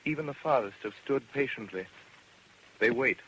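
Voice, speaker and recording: An actor as a solemn announcer in a vintage radio drama. He reports as an eyewitness in measured, poetic phrases at a middle pitch, on a muffled recording with clear background noise. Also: fairly bright tone, lightly acted. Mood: hushed, grave. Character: grave radio announcer witnessing a restless crowd. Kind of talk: 1930s radio drama live commentary. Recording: dull, noisy old radio recording.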